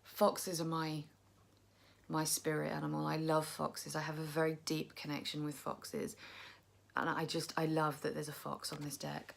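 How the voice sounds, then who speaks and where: A young woman chatting casually to camera about her tarot decks, tired and croaky, rambling and poking fun at herself. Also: low voice. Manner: chatty, self-deprecating, rambling. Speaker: tarot vlogger.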